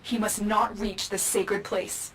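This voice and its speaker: An actress playing a calm mentor who gives urgent instructions. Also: female voice, medium pitch, slow pace, husky, rough recording. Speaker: mission guide.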